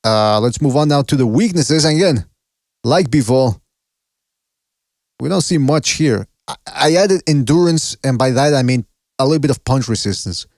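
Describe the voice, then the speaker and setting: A man hosting a boxing podcast, chatting casually and thinking out loud with some swearing. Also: mid-range voice, expressive, moderate pace, dry recording, clean studio sound. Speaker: podcast host.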